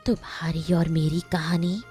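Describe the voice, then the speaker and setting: Casting: young female ghost queen played by a woman, gentle, wronged, solemn; mellow, unhurried delivery for a cartoon fable. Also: lowish voice, flat delivery, faint music behind, natural voice. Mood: sorrowful, solemn.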